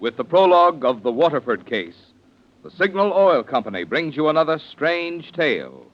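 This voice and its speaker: An actor playing a sardonic, knowing narrator in 1940s radio suspense, speaking in an ominous, insinuating way. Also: male voice, a little high, big pitch swings. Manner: ominous, insinuating, deliberate phrasing. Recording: muffled old-time radio recording. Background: music.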